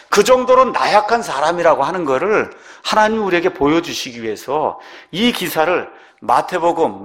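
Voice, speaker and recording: A man's voice: on the high side, fairly bright tone. A man preaching a sermon, asking the congregation questions and acting out the lines he quotes. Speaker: pastor.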